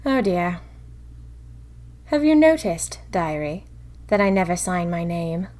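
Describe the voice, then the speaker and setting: A woman's voice: on the low side, very animated, slow pace, darkish tone. A woman playing a theatrical, wry young woman of the 1920s confiding anxiously in her diary for an audio drama. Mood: weary, wry. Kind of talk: first-person audio drama diary narration.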